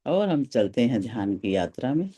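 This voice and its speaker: A meditation guide speaking gently and without hurry, leaving pauses between short phrases of calm instruction. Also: medium pitch, breathy edge.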